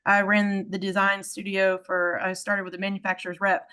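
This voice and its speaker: A woman's voice: medium pitch, monotone, normal speed, bright, forceful tone, clean tone. A woman from South Carolina introduces herself on a video call. She rambles eagerly, restarting sentences, in a fairly level voice. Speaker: lighting industry professional.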